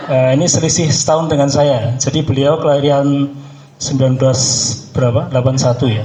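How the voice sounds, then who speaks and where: A man's voice: mid-range voice, steady tone, brisk, dark tone, slight background noise. Man in his forties, echoey room. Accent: Javanese Indonesian. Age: 40s. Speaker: participant introducing himself to a group. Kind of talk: informal self-introduction to a gathering.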